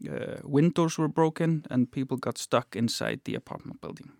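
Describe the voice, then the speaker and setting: Icelandic guy, gravelly mid-pitched voice, reads simple news then translates it, dry studio sound. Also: normal speed, darkish tone, no echo. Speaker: news writer and language-teaching guest. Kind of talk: slow simple news reading with translation and casual chat.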